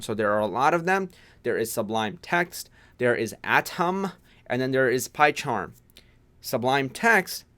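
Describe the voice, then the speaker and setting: A man's voice: medium pitch, fairly bright tone. Young male stream host, from Pakistan, twenties, chatty, laughs easily, casual coding tips. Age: 20s. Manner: laughs often, quick and chatty. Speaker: programming livestream host.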